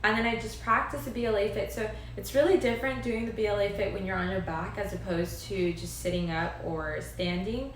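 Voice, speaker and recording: A woman's voice: medium pitch, steady tone, a little fast, hardly any pauses. Chatty young woman in a very echoey room. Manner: chatty, upbeat, lots of "like" and "um". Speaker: live-stream host. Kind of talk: casual live-stream chat. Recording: very echoey room, faint noise.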